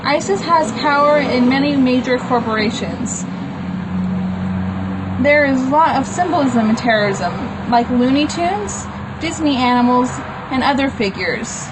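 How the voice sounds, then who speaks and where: A woman's voice: high voice, lively intonation, a little slow, heavy background noise. A woman reading her own homemade comic aloud in a plain, unpolished way, with informal explanatory asides. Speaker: comic book author reading own work.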